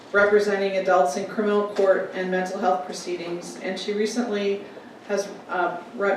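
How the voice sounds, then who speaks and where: A woman reads panelist introductions to a seated audience, stopping often on "um" and "uh." Her pitch stays flat and even. She sounds distant from the microphone in an echoing room with faint background noise. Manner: halting, many ums and restarts. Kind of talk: formal introductions. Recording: echoey room from a distant mic.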